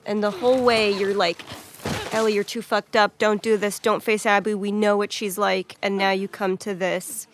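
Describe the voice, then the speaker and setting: A woman's voice: mid-range voice, level tone, normal speed. A woman giving casual, analytical commentary on a game, reflective and building her thoughts in repeated phrases.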